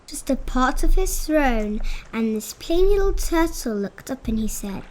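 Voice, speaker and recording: A woman reading rhyming narration aloud for children in an animated, expressive storytelling style. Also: sits high, measured pace, slight background noise, poor audio. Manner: dramatic, expressive.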